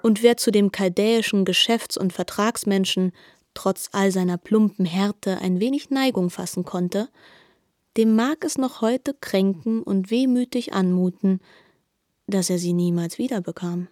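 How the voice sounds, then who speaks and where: A woman narrating a literary radio drama in a measured, reflective manner. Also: mid-range voice, a little mellow, no echo, studio quality.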